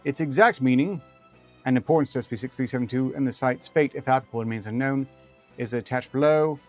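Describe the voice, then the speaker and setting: A man's voice: mid-range voice, fast, a little mellow. A man reading fiction aloud as a streamer, with dry, rambling asides in formal wording. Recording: music behind the voice.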